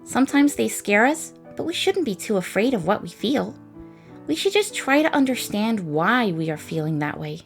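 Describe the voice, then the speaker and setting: A woman's voice: mid-range voice, big pitch swings, normal speed, gravelly, faint music behind. A woman voicing a thoughtful, encouraging young woman in a comic dub of a space adventure. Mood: gentle, encouraging.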